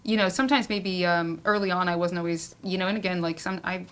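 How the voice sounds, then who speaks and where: A woman's voice: fairly low pitch, steady tone, quick pace, a bit bright. A woman moderating a casual panel discussion, speaking conversationally with lots of "like" and "you know". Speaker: panel moderator, playwright and director. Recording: noisy room at a live event.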